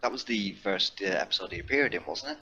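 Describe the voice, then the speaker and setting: A man's voice: low voice, fast, highs cut off, a crowd in the background. A man chatting casually as a player in a tabletop game. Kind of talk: casual chat. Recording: faint background noise.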